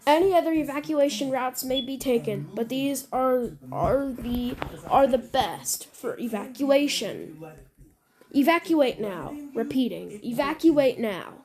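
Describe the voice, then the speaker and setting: A woman narrating a mock emergency alert scenario, halting and sometimes repeating or stumbling over her words. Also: sits high, lively intonation, darkish tone. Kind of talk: scripted emergency announcement with ad-libbed reactions.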